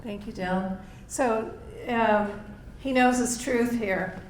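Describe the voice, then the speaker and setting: Hoarse woman coaxing a group. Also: fairly high pitch, fairly even intonation, slow pace. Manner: coaxing, encouraging. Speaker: workshop leader. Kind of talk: leading a group workshop. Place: library meeting room. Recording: echoey room with faint noise.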